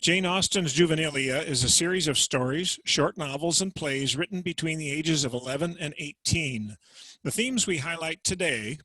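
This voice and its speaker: A man introducing and narrating a dramatic reading over a video call, in a measured, explanatory way. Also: a little high, fairly bright tone, no echo, rough recording.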